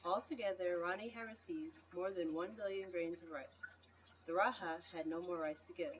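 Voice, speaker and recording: A woman's voice: fairly low pitch, steady tone, normal speed. A woman reading a storybook aloud, picked up by a distant mic with noise behind her. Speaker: reader at a read-aloud event. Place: school library. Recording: distant mic, noisy room.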